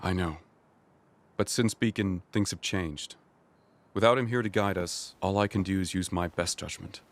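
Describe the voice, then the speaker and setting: An actor playing a grave, resolute middle-aged military general in an animated drama, measured and authoritative. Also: male voice, low-pitched. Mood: somber.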